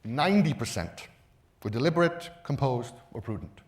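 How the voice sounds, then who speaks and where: A man presenting at a conference in a measured, explanatory manner, stressing key words with emphasis. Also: mid-range voice, very animated. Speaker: conference speaker.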